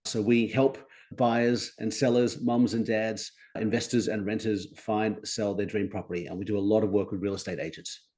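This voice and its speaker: An Australian man in his forties, a guest on a podcast, talking upbeat and articulate with animated emphasis. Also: lowish voice, moderate pace. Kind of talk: conversational interview.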